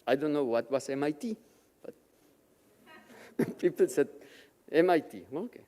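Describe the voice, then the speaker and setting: Slow-spoken man from Mexico, reverberant chapel. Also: fairly high pitch, expressive, warm tone. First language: Yiddish. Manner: slow, measured, emotional storytelling. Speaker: guest speaker at a Shabbat service. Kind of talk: personal testimony speech. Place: synagogue chapel.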